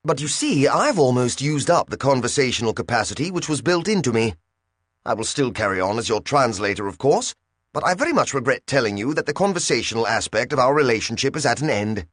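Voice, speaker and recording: A man's voice: mid-range voice, very animated, brisk, a bit bright, no room sound, clean studio sound, voice pushed up. A man voicing a touchy little translator gadget that talks with a put-on foreign accent and takes offense easily. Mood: indignant. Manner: prickly, huffy, easily insulted.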